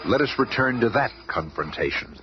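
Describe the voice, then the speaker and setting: A man's voice: medium pitch, expressive, normal speed. A man narrating a scripted radio adventure in a theatrical, suspenseful manner. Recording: muffled, noisy old radio recording.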